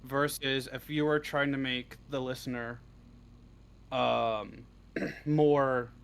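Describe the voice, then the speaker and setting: A man's voice: medium pitch, steady tone, takes it slowly. A man answering as the guest writer in a casual interview, hesitating and thinking aloud as he talks. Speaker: interview guest, audio roleplay script writer. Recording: clearly noisy background.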